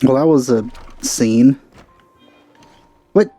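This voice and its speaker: A man's voice: medium pitch, slow pace, mellow, faint music behind. A man giving casual let's play commentary as the host of a gaming video.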